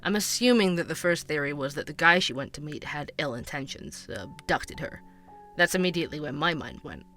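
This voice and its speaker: A man's voice: a little high, lively intonation, fairly quick, sharp tone, faint music behind. An actor playing a curious male investigative podcaster, who narrates and probes with questions. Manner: inquisitive, probing.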